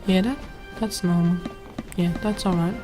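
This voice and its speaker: A woman's voice: fairly low pitch, steady tone, moderate pace, warm tone. Woman with German accent, let's play commentary, reads text aloud, faint game music behind. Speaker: gameplay commentator.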